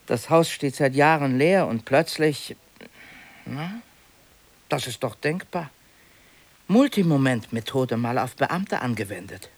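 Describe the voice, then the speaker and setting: Radio drama, male: prying city clerk, medium-pitched, chatty, politely insinuating, a little uneasy. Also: expressive, slow pace, long pauses, no echo. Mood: confiding, explaining. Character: nosy, uneasy male city office clerk confronting a neighbour. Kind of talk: German radio play acting, naturalistic dialogue.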